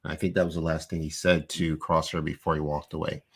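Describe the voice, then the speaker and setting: A low-pitched man co-hosting a relaxed live-stream recap. He talks loosely and animatedly, restarting sentences and leaning on "you know" as he walks through each scene. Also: quick pace, vocal fry, breathy edge. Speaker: podcast co-host. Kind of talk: casual live-stream chat recapping an episode.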